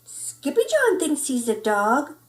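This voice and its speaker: A woman's voice: high-pitched, wildly swooping pitch, takes it slowly, distant mic, pitched above the performer's own voice, full character voice. A woman voicing a young girl kitten, teasing and singsong in a silly sisterly way. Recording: roomy indoor echo.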